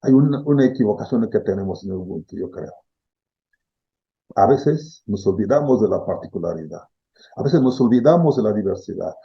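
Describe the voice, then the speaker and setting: Gravelly husky-voiced Congolese professor. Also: male voice, fairly low pitch, lively intonation, normal speed, muffled recording. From Democratic Republic of the Congo. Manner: thoughtful, repeats key words, frequent "¿sí?" check-ins. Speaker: philosophy professor, interview guest. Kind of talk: reflective explanation.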